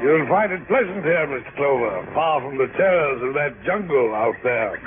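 An actor playing an eccentric, genial old South Seas pearl trader with pompous, courtly speech in an old radio drama. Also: male voice, medium pitch, lively intonation, moderate pace, close to an everyday voice. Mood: suave, welcoming. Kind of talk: 1950s radio drama acting. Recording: tinny old radio recording, music behind.

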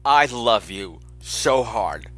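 A man's voice: fairly low pitch, expressive, slow, a bit bright, faint music behind. A man hosting a podcast solo in a casual, chatty, campy and playfully dramatic way, rambling and self-deprecating. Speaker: podcast host.

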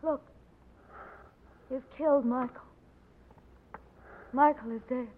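A woman's voice: high voice, fairly even intonation, takes it slowly. A desperate noblewoman. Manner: urgent, breathless warnings. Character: frightened, desperate young noblewoman. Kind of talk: 1940s radio acting. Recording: muffled old radio broadcast with hiss.

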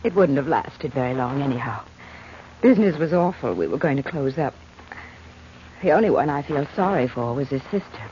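A woman's voice: a bass voice, animated, normal speed, warm tone. An actress playing a cool, flippant young working woman with an American accent, giving evasive comebacks in a 1940s-style radio drama. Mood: frank. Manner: flip, evasive. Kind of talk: 1940s radio detective acting. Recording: muffled, noisy old radio recording.

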